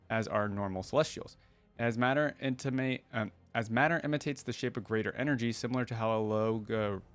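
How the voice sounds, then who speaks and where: A man with a fairly low voice hosts a casual reaction video. He reads passages aloud and comments on them informally, thinking out loud as he goes. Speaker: reaction channel host.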